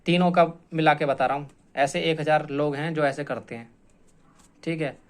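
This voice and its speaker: A man's voice: a little high, fairly even intonation, normal speed, clean tone. A man explaining like an exam coach, informal and repetitive, stressing points and often adding check-in tag phrases. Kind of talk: informal explanatory lecture to students.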